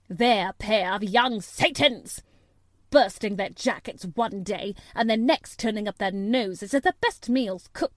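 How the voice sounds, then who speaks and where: A woman's voice: a little high, no echo. Indignant storybook housekeeper, done by a woman reader: emphatic, fussing, exasperated, close and dry.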